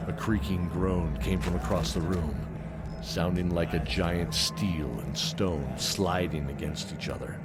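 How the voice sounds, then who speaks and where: Male narrator, lower-pitched voice, dramatic fantasy storytelling, scripted audio drama, loud music behind. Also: fairly even intonation, unhurried.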